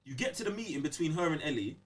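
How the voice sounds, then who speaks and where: A man's voice: fairly high pitch, big pitch swings, quick pace, far from the mic. A man with a London accent chatting casually as a podcast co-host, slangy and emphatic, often checking that the listener follows. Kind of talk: casual game review chat.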